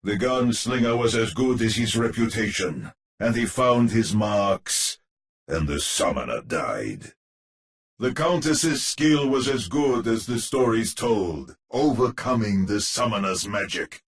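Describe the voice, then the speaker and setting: An actor playing a grave storyteller who narrates a dark fairy tale in a dramatic, deliberate way for a video game. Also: male voice, lowish voice, animated.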